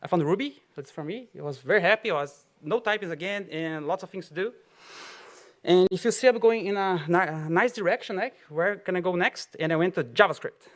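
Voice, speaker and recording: A man's voice: sits high, brisk, fairly bright tone. A man from Brazil with a Brazilian Portuguese accent, giving a conference talk in a loose, chatty way full of fillers and small laughs. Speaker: conference speaker. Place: auditorium.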